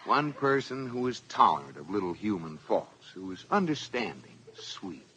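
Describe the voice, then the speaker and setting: An actor playing a dry, exasperated banker husband in 1940s radio comedy style, with sarcastic, deadpan comebacks. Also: male voice, fairly low pitch, moderate pace, slight background noise, low-quality recording. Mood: sardonic. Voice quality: sardonic, deadpan.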